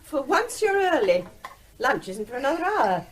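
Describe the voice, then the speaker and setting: An actress playing a brisk, wryly exasperated middle-aged mother in a radio play. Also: sits high, sing-song, slight background noise. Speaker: actor in a radio drama. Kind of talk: naturalistic British radio play acting.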